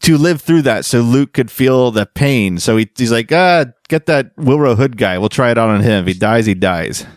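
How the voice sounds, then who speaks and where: A man hosting a casual panel podcast, telling fan lore in a jokey, animated storytelling way. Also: mid-range voice, moderate pace, hardly any pauses, no room sound, studio quality. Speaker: podcast host.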